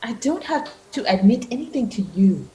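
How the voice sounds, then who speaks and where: A woman's voice: mid-range voice, very animated, moderate pace, warm tone, close to an everyday voice. A woman playing a reluctant, guarded young villager in a radio play, defensive and doubting, recorded in a roomy space with some noise. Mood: defensive, discouraged.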